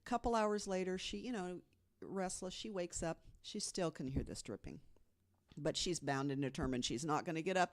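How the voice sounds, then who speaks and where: A woman on a podcast, casually telling an urban legend, with lots of "you know" and hushed tones for the scary moments. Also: on the low side. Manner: chatty storyteller, fills with "you know", drops to hushed tones for the scary parts. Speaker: podcast co-host. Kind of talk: casual storytelling chat.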